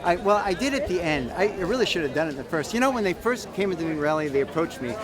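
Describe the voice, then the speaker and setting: An American man gives a spontaneous outdoor interview in a somewhat high-pitched voice. He is emphatic and sure of himself, often repeating a phrase to drive it home. A crowd is clearly audible around him. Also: big pitch swings, racing. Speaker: activist. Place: outdoors at a public rally. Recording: outdoor crowd noise behind voice. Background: crowd chatter.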